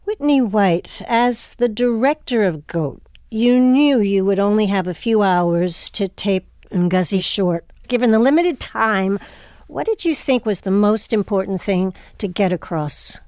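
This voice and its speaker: A woman hosting an interview, putting prepared questions in a measured, polished way. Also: mid-range voice, animated, a little mellow, no room sound, clean studio sound. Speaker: interview host.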